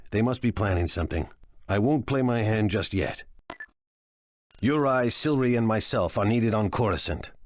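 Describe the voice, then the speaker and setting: An actor playing a cunning, ruthless crime lord in a video game, speaking in a measured, condescending and deliberate way. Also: male voice, medium pitch, lightly acted. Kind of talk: dramatic video game voice acting.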